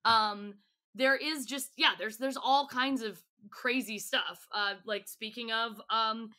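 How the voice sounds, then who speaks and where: A woman's voice: fairly high pitch, steady tone, slow, sharp tone. A woman chatting casually and enthusiastically as a podcast guest, in informal, gushing fan talk. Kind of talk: casual chat.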